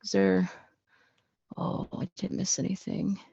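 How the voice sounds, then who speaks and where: Chatty woman teacher with creaky voice. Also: mid-range voice, flat delivery, unhurried, mellow, dry recording. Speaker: online English class teacher. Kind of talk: casual live online lesson. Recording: muffled lo-fi video call.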